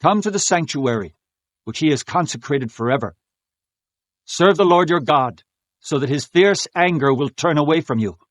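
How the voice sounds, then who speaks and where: A man's voice: fairly high pitch, lively intonation, moderate pace, no room sound, close to an everyday voice. Man acting as an earnest, declaiming biblical king. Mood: urgent exhortation. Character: earnest, pious king of Judah exhorting his people. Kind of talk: dramatized scripture acting.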